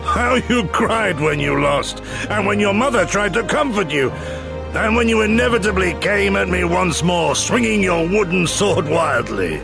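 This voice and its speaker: Gravelly, seasoned warrior mentor. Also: male voice, high voice, lively intonation, music in the background. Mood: fond, wry. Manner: grave, formal, deliberate. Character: seasoned male warrior mentor, stoic, penitent, quietly fond. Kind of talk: scripted video game drama acting.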